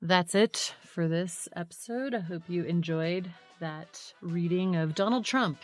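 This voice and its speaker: A woman's voice: lowish voice, measured pace, soft background music. A woman hosting a podcast in casual, conversational style. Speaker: podcast host. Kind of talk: casual chat.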